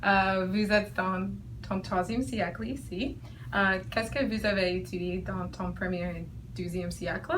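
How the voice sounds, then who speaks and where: A woman's voice: mid-range voice, steady tone, a little slow, a bit bright. A woman from the United States, a student interviewer, asking a question hesitantly while searching for words. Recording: distant mic, faint noise.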